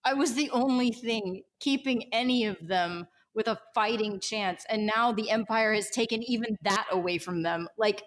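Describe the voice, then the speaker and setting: A woman plays a hard-drinking female rebel in a sci-fi tabletop game. Her fairly high voice is bitter and intense, grumbling with defiance at those who wronged her. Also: normal speed, hardly any pauses, close to an everyday voice.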